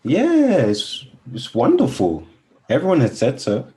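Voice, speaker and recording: A man's voice: mid-range voice, wildly swooping pitch, measured pace, mellow. A man playing a kindly, polite wedding guest who is easily embarrassed, in naturalistic radio play dialogue with a hesitant, conversational manner. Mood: reassuring.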